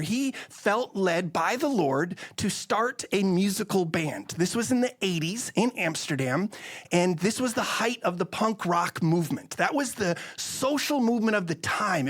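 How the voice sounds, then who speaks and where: A man from the Netherlands telling his story as an interview guest, with earnest, emphatic delivery and a preaching bent. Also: sits high. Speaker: interview guest, missions organization leader. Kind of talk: conversational testimony and preaching.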